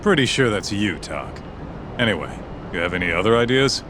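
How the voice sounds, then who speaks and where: An actor playing a streetwise, sarcastic but cautious sidekick in a video game, bantering in slangy, skeptical style. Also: male voice, fairly low pitch, big pitch swings, normal speed, noisy background. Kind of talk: English game dub acting.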